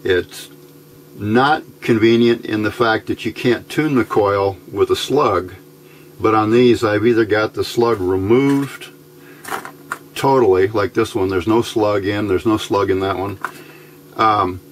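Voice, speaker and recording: A middle-aged man giving a casual hands-on tutorial, speaking in an unhurried, halting way as he thinks aloud. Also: on the low side, a little hiss or hum. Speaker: electronics hobbyist host. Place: indoor workbench, hands filmed from overhead.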